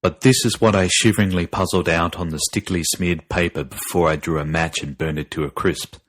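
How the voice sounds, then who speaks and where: A man with a deep voice narrates a horror tale. His delivery is dramatic, deliberate and grave, full of foreboding. The sound is close and dry, like a studio audiobook. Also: fairly even intonation, no echo. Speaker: narrator and podcast host.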